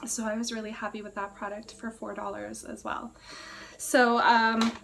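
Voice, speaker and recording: A woman with a hoarse, cracking voice she says she is losing, chatting casually through a beauty product review and often clearing her throat. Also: on the high side, flat delivery, normal speed, fairly bright tone, a little hiss or hum. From Canada. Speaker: beauty vlogger.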